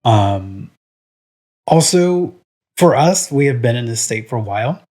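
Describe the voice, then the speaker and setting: A man's voice: mid-range voice, expressive, measured pace, a little mellow. A man from Puerto Rico co-hosting a podcast in casual chat, rambling with lots of "um" and "like" and speaking his mind frankly. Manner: rambling, full of "um" and "like"; frank and opinionated. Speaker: podcast co-host.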